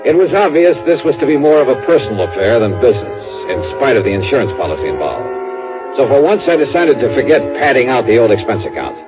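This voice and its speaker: An actor playing a wry, sympathetic insurance investigator with an American accent and clipped, colloquial delivery in a 1950s radio drama. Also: male voice, medium pitch, sing-song, fast, close to an everyday voice. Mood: wry narration. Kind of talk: 1950s radio detective drama acting with first-person narration. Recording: muffled 1950s radio recording, faint music.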